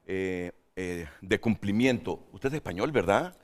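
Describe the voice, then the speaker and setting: A man's voice: sits low, lively intonation, measured pace, long reverb tail. A man hosting a studio panel talk show, moderating guests in a joking, teasing way. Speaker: host.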